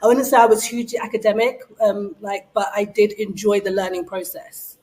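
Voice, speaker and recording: A woman's voice: medium pitch, level tone, a little fast, warm tone, rough recording. A woman raised in London, talking about her upbringing as an interview guest, chatty with frequent fillers and easy laughter. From Nigeria, raised in London. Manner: chatty, lots of fillers and restarts, laughs easily. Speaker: guest, beauty brand strategist. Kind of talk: casual interview conversation.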